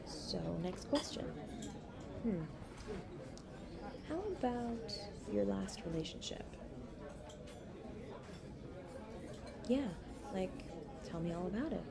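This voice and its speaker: A woman acts out a young woman writer on a first date, playful and a little coy. Her medium-pitched voice teases and hesitates in an intimate, one-sided audio drama. A clear murmur of other people sits behind her. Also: expressive, crowd noise. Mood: gently curious. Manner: coy, teasing, hesitant pauses and soft laughs.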